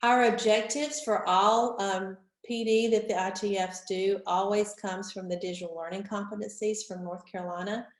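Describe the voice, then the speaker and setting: A woman, stuffy from a cold, leading an online training session for teachers in a clear, organized, instructive way. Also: mid-range voice, steady tone, moderate pace, few pauses. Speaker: instructional technology facilitator. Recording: roomy echo.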